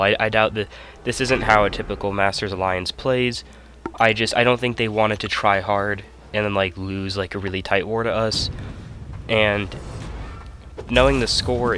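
A young man with a stuffy nose giving casual, rambling commentary over his own gameplay. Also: fairly low pitch. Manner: relaxed, rambling, self-correcting. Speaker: gaming channel host. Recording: voice-over with loud game music behind.

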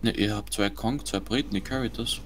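A man's voice: on the low side, fairly quick, noisy background. A man chatting casually while playing a tank game, dry and self-mocking. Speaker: gamer. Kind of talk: casual gaming chat.

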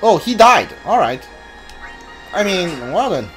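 Male streamer, casual game commentary, slightly high voice, game music behind him. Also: very animated, takes it slowly, a bit bright. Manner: thinking aloud in short muttered remarks. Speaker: let's play streamer. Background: video game music and sounds.

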